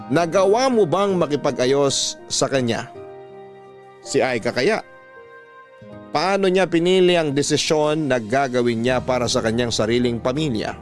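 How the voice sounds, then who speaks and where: A man giving dramatic scripted narration as host of a radio love-story program. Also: medium pitch. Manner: dramatic, questioning teaser delivery.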